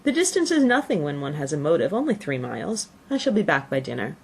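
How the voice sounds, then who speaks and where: A woman voicing a spirited, stubborn young woman in an audiobook, answering firmly and briskly. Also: low voice, very animated, slightly rough, exaggerated voice. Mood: determined. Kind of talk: audiobook character voice.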